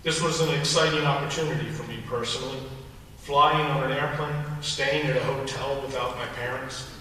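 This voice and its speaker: A man whose first language is Hungarian reads a prepared memorial tribute in a measured, earnest way, as an alumnus and trustee. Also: medium pitch, steady tone, bright, forceful tone, slight background noise. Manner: measured, earnest reading pace. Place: large hall at a memorial service. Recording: distant echoey hall recording.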